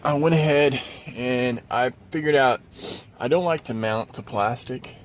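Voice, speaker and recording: A man's voice: medium pitch, a little slow, a little hiss or hum. A man hosting a casual, unscripted DIY car install walkthrough, explaining informally and in a rambling way. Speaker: DIY car video host. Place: in and around a car.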